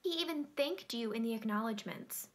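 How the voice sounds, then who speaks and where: Woman acting secretive guarded character, highish voice, measured, probing, theatrical dialogue. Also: expressive, natural voice. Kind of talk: stage drama dialogue.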